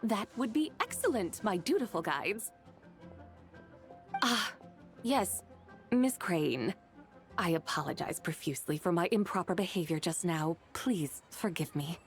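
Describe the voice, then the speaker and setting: Animated, courtly mystical adept. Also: female voice, fairly low pitch. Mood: courteous. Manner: formal, archaic, measured phrasing. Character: serene, mystical adept woman, formal and courtly. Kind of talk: video game character acting. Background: game music.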